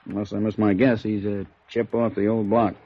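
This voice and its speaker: Gravelly, breezy police sergeant. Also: male voice, fairly low pitch, little pitch movement, normal speed. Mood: offhand, businesslike. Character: breezy, slangy male police sergeant, jovial colleague. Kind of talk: 1950s radio noir acting. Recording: dull, noisy vintage radio recording.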